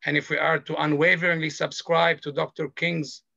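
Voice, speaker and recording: A middle-aged man from Lebanon, a panelist sharing a personal story in a slow, earnest, measured way over a video call. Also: on the high side, little pitch movement, creaky, sharp tone, no room sound. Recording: dull video call audio.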